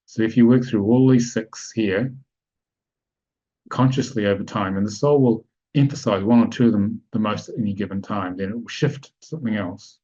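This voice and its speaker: Low husky guy leading a spiritual webinar over a muffled lo-fi call. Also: warm tone. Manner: explanatory, asks the audience checking questions. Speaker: webinar presenter. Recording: dull lo-fi online call audio.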